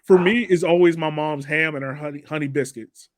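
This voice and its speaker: A man's voice: on the high side, brisk. A man hosting a casual sports podcast, chatting in a slangy, jokey way. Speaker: podcast host.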